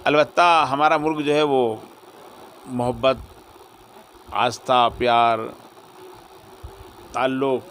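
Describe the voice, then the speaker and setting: Measured man interviewed over faint traffic noise. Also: medium pitch, steady tone, fairly bright tone. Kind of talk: spontaneous interview answer. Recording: faint vehicle and ambient noise.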